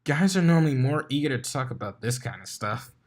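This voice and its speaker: A man's voice: medium pitch, lively intonation, fairly quick, pitched above the performer's own voice, lightly acted. A man puts on a laid-back female unicorn DJ from a pony fan fiction. She sounds nosy and playful, always teasing. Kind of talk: acted fan fiction dialogue.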